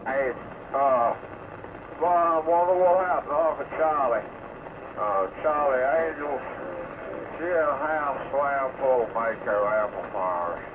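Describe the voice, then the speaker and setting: A man's voice: mid-range voice, a little slow, pauses often. A man chatting casually over CB radio. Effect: CB radio transmission. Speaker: CB radio hobbyist. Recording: thin narrowband CB radio with static.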